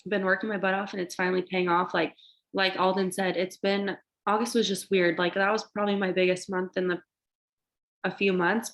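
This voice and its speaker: A young woman sounds congested and stuffy from allergies as she speaks over a video call. She rambles eagerly, keeps turning to her listeners with "you guys," and pushes through with upbeat motivational energy. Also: medium pitch. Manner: excited, rambling, addresses "you guys" often. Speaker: direct sales team leader.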